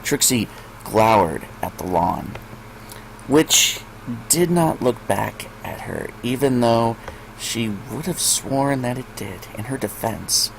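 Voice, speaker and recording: A man's voice: mid-range voice, lively intonation, slow, gravelly, slight background noise, low-quality recording. A man narrating fan fiction expressively, as a story reader.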